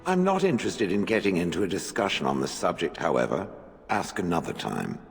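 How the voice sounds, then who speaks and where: A haughty, destructive giant creature in a video game, very deep and gravelly. The delivery is formal and deadpan, dripping with pomp. A long cavernous reverb trails each word. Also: male voice, fairly quick, soft background music, heavily acted. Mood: dry disdain. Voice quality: gravelly, resounding.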